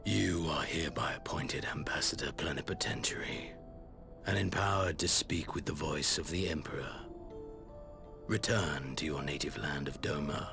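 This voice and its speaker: An actor playing a cold, calculating imperial lord in a video game, speaking in measured, formal commands. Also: male voice. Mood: cold, commanding. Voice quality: very deep, gravelly, breathy at phrase ends. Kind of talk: dramatic video game cutscene acting. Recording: loud music under the voice.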